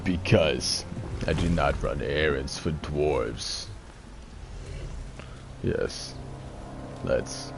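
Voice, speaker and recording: A man's voice: very deep voice, extremely slow, gravelly, pauses often, noisy background. A young man giving rambling, profane, drunk gameplay commentary, with a congested voice. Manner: rambling, profane, loose and slurred. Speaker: gaming streamer.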